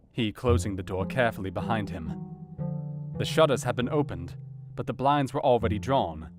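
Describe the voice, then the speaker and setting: An actor playing an earnest doctor, narrating a dramatised audiobook in a measured, formal and dramatic way. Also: male voice, lowish voice, natural voice. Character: earnest male doctor recounting a grim night.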